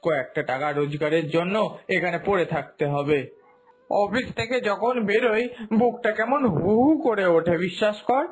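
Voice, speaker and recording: A man voicing a homesick, hardworking son in a cartoon drama, earnest and complaining, with a husky voice. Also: high-pitched, moderate pace. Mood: weary, homesick. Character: homesick, hardworking son working far from home. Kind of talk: Bengali cartoon drama dubbing.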